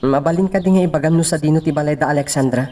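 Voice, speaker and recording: A man's voice: a little high, racing, noisy background, natural voice. A man acting as a hesitant, earnest young suitor in an Ilocano radio drama. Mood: eager.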